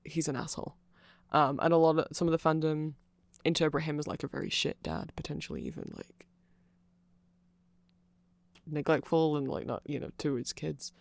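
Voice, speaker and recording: Creaky-voiced rambling explainer, close and dry. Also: mid-range voice, animated, normal speed, dry recording. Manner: informal, rambling, opinionated. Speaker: content creator. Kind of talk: rambling ASMR-style fan explainer.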